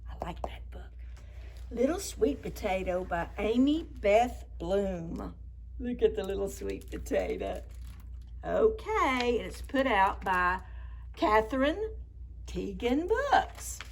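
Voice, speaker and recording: A woman hosting children's story time, reading picture books expressively with drawn-out words and chatty asides. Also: mid-range voice, big pitch swings, vocal fry, a little hiss or hum. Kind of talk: animated picture book read-aloud for preschoolers.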